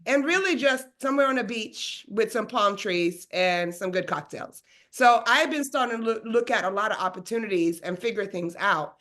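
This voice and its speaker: A woman's voice: mid-range voice, moderate pace, hardly any pauses, fairly bright tone. A woman from Sweden, a realtor and business coach, hosting a webinar over a video call in a casual, upbeat, chatty way. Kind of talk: presenting a webinar.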